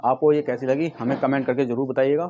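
A man casually pitching products to camera, often addressing viewers as friends and leaning on repeated verbal tics. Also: steady tone, fast talker, warm tone, highs cut off. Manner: repetitive filler phrases, addresses viewers as friends. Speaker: vlogger and shoe seller. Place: tiled room at home with stock boxes, indoors. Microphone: clip-on lapel mic. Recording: noticeable background noise, clicks.

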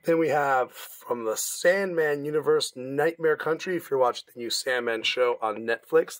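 Hoarse, raspy man, mid-pitched, dry close sound, laid-back shop presenter. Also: no room sound. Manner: informal, easygoing, stumbles now and then. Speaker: comic shop staff presenting new releases. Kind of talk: casual product walkthrough. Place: comic shop, indoors.

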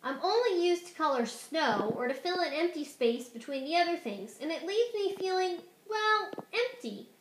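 A forlorn, overlooked crayon from a storybook, voiced by a woman, speaks plaintively and high. The room echoes around it. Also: lively intonation, normal speed, hardly any pauses, slight background noise.

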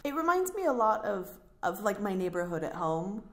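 A woman's voice: mid-range voice, sing-song, moderate pace, fairly bright tone. A woman co-hosting a podcast in a casual, chatty style. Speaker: podcast co-host. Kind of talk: casual chat.

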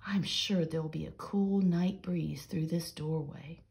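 A woman's voice: low-pitched, unhurried, vocal fry, darkish tone. A woman voicing a huffy, grumbling male rabbit in a children's storybook. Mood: self-contented. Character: grumbling, heat-hating male rabbit with a fan.

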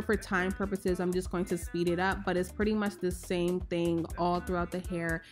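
Young woman, slightly hoarse, lower voice, chatty tutorial voice-over, casual fillers, faint music behind. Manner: chatty, relaxed, explains step by step with "like" and "um". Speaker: hair tutorial vlogger. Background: faint background music.

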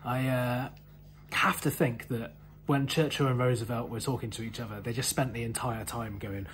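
A man's voice: mid-range voice, fairly even intonation, moderate pace, slight background noise. A British man in his thirties presenting an explainer to camera in a conversational, enthusiastic way. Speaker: museum video host. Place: indoor museum room full of synthesizers.

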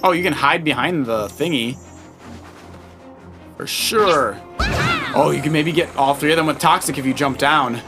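A man's voice: fairly high pitch, normal speed, long pauses. A man chatting casually as a dad co-hosting a video game playthrough, giving encouraging tips and suggestions. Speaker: dad co-hosting a let's play with his child. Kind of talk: casual gameplay chat and coaching. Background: loud video game music.

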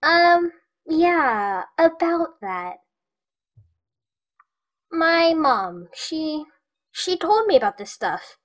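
A woman's voice: high voice, sing-song, very slow, pauses often, heavily acted. A woman playing a naive, nervous little girl in an audio drama, hesitant and full of questions. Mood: curious, unsure. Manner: hesitant, anxious.

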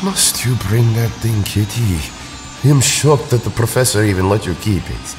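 Actor as stern male superhero, lowish voice, grumbling, long reverb, music underneath, audio drama. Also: normal speed, background noise, close to an everyday voice. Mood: disapproving.

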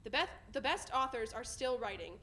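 A young woman performs slam poetry on an indoor stage. She has a high-pitched voice and delivers her phrases with emphasis, building them in rhythmic runs. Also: lively intonation, normal speed, a bit bright, a little hiss or hum. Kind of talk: spoken-word poetry recital. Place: indoor stage in front of a curtain.

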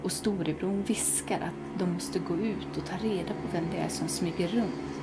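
A woman's voice: fairly low pitch, heavy background noise. A young woman reading a ghost story aloud to children in a cozy living room, dropping to whispers at the tense moments. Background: soft background music.

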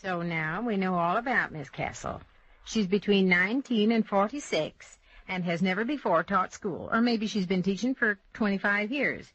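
A practical, wry small-town daughter in a vintage radio comedy. She speaks briskly at a medium pitch, on a dry, dull old recording. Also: animated, a bit bright, breathy edge, no room sound. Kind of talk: old-time radio comedy drama acting.

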